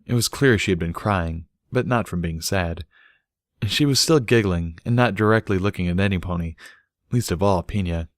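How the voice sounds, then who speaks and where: A man's voice: sits low, normal speed, dry recording, clean studio sound. A man reading a scripted story aloud as an audiobook narrator.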